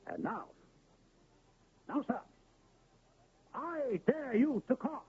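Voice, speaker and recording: A grandiose traveling medicine-show huckster in an old radio play, spinning florid patter in a high, husky voice. The sound is thin and narrow, like an old broadcast. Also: male voice, big pitch swings, takes it slowly, exaggerated voice. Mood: grandiloquent. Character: pompous smooth-talking traveling medicine-show pitchman, male. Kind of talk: 1970s radio drama acting.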